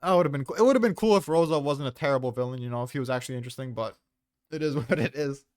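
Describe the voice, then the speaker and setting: A man's voice: medium pitch, rapid-fire, darkish tone, no echo, studio quality. A man hosting a casual debate, speaking bluntly with slang and swearing. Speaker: host presenting his tier list.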